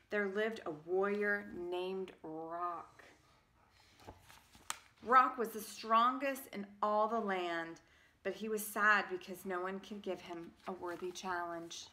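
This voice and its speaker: Female storybook reader, forties, bright and theatrical, slow delivery, some room noise on a phone. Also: mid-range voice. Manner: theatrical, emphatic. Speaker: parent reading a picture book aloud. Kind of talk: expressive storybook narration. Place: carpeted home room with sloped ceiling. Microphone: phone at arm's length.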